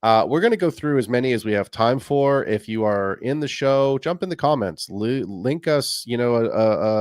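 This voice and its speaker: A man's voice: on the low side, no room sound, studio quality. A man hosting a fantasy football podcast, talking in a chatty, rambling and friendly way. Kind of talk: casual podcast talk.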